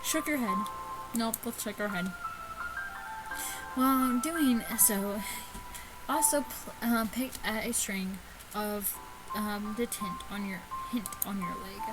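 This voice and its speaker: A woman hosting a gaming video, reading a visual novel aloud in a casual, halting way with stumbles and asides. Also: mid-range voice, loud music behind the voice, rough recording. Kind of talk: casual chat and read-aloud let's play.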